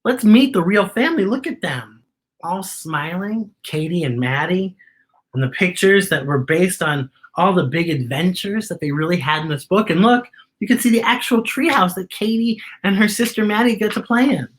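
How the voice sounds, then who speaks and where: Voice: medium pitch, animated, normal speed, poor audio. A children's story time host reading aloud and talking to young viewers in an enthusiastic, emphatic way. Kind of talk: animated read-aloud to children.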